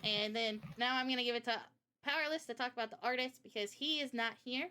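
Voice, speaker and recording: A woman's voice: fairly high pitch, fast, sharp tone, smooth. A young woman presenting casually on a livestream, chatty, informal and enthusiastic, like a developer showing off a project.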